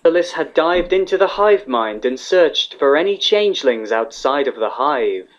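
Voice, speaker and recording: A man's voice: a little high, lively intonation, moderate pace. Male story narrator, slightly muffled. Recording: slightly dull, lo-fi recording.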